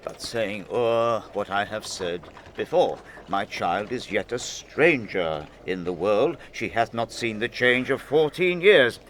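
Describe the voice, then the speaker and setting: Old lord for Shakespearean audio drama: gracious, commanding father, declamatory, very varied pitch, deliberate pace. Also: animated, a bit bright, slight background noise. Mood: grave, reflective. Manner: measured, declamatory, verse-speaking. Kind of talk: classical Shakespearean audio drama acting in verse.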